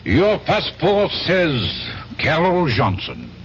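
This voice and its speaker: An actor playing a courteous but skeptical French police inspector in a radio drama, formal and probing. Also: male voice, a little high, huge pitch swings, slow, full character voice. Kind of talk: 1970s radio drama acting. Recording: dull old radio recording, faint noise.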